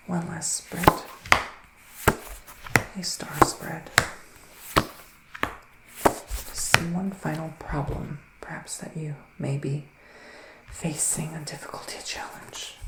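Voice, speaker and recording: A man playing a gentle, gloomy psychic witch in an ASMR tarot roleplay, speaking softly in a hushed, unhurried way that often slips into whispers. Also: on the high side. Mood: soothing, mysterious. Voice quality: soft, breathy, often slipping into whispers. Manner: hushed, unhurried, musing, with dramatic pauses. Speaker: ASMR performer, tarot reader. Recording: roomy sound with faint background noise.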